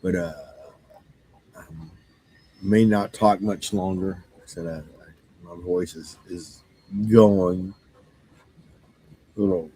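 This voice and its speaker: A man with a very low voice speaks in a hoarse, husky rasp, as if he is losing it. He runs a game store and chats about the coming year in a loose, halting way, full of fillers and restarts. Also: fairly even intonation, mellow, poor audio. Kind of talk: casual monologue.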